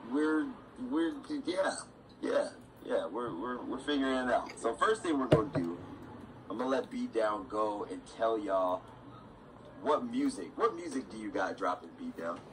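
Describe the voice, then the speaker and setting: A man's voice: lowish voice, expressive, normal speed. A man hosting a podcast, chatting casually in an informal, slangy way. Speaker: podcast host. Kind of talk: casual chat. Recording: clearly noisy background ambience.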